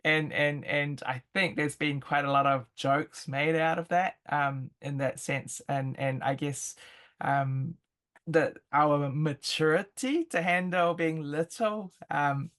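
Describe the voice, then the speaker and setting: A woman with a New Zealand accent speaking as an interview guest, conversational and reflective, feeling her way through halting phrases. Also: low voice, a bit bright.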